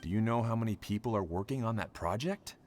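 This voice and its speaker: Man acting a weary, low-voiced graduate student. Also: fast talker, darkish tone, slight background noise, close to an everyday voice. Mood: weary, insistent. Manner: placating, weary. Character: resigned, overworked young male graduate student. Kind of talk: video game voice acting.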